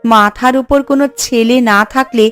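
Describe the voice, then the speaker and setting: A woman's voice: a little high, steady tone, normal speed, a bit bright, clean tone, close to an everyday voice. A woman voicing a keen, chatty younger village woman in a cartoon. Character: younger village sister-in-law, keen and suggestive of ideas. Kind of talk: Bengali village cartoon dialogue. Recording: music under the voice.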